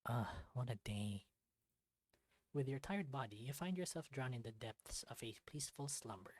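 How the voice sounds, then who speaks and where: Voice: mid-range voice, normal speed, a little mellow, dry recording. A let's-play host reading a visual novel aloud, moving between storybook-like narration and casual commentary.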